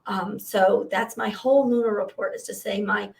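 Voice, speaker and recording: A middle-aged woman with a scratchy, hoarse voice from being sick, co-hosting a casual live podcast and laughing often as she tells stories. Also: medium pitch, fairly even intonation, moderate pace, recorded from a distance. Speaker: podcast co-host.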